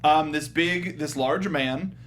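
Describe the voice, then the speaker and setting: A man's voice: on the high side, slow, fairly bright tone. A man co-hosting a casual, comedic film discussion, quoting lines with mock exasperation. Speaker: co-host of a film review show. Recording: faint background noise.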